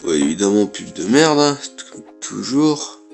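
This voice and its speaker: A man's voice: fairly low pitch, big pitch swings, measured pace, vocal fry, soft background music. A man, who has a cold, giving casual, blunt let's play commentary as a gaming YouTuber and swearing offhandedly.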